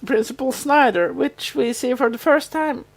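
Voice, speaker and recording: A woman co-hosting a podcast, chatting informally about a TV show and explaining things in a halting, conversational way. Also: high voice. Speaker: podcast co-host. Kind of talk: casual chat about a TV show.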